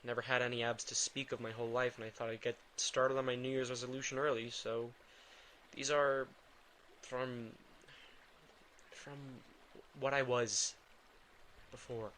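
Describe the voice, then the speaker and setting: A man playing a drained, guilt-ridden vampire in an intimate audio drama, speaking haltingly and defensively. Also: medium pitch, little pitch movement, fairly bright tone, slight background noise, close to an everyday voice, poor audio. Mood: guarded, weary. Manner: halting, defensive, stammering under pressure.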